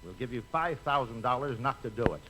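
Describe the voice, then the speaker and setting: An actor playing a blunt, exasperated movie studio boss in a 1950s radio comedy. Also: male voice, mid-range voice, lively intonation, a little fast, close to an everyday voice. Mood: exasperated, dry. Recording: noisy 1950s radio recording.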